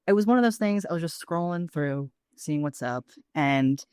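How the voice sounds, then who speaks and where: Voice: mid-range voice, expressive, normal speed, darkish tone, clear, resonant tone, no room sound. Sweary, enthusiastic podcast co-host, dry close sound. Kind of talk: casual podcast chat.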